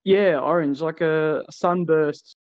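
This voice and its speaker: A man's voice: a little high, steady tone, slow, darkish tone, no echo. Hesitant man, lo-fi dry audio. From Australia. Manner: hesitant, many fillers. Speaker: guest. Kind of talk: casual call-in interview.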